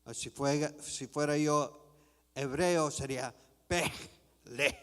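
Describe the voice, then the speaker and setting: A man preaching a sermon in a church, reading scripture slowly and deliberately. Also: on the high side, fairly bright tone, in a large hall. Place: church sanctuary.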